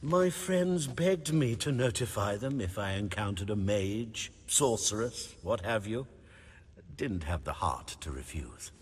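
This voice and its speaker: An actor playing a smug, treacherous middle-aged merchant in a video game, speaking with pompous mock courtesy. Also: male voice, on the low side, very animated, a little slow, background noise. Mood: smug threat. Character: smug, oily, treacherous male grain merchant.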